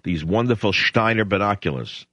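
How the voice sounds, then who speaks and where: A man's voice: fairly low pitch, moderate pace, pushed and bright, dry recording. A male talk radio host, sardonic and emphatic, rambling through opinions and taking calls in a studio. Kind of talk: opinionated radio monologue and call-in chat. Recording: dull lo-fi radio broadcast.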